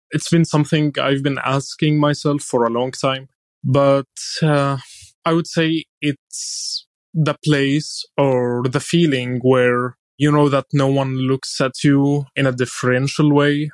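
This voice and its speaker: A man's voice: mid-range voice, dry recording. A seventeen-year-old man from Syria, an Arabic speaker, answering interview questions conversationally. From Aleppo, Syria. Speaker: podcast guest, student.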